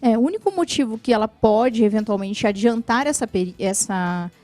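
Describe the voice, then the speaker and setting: A woman's voice: on the high side, animated, normal speed, darkish tone, no room sound, faint music behind. Patient woman explaining benefit rules. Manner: clear, patient explaining with frequent "né". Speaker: social security lawyer guest. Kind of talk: explanatory TV interview answers. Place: TV studio.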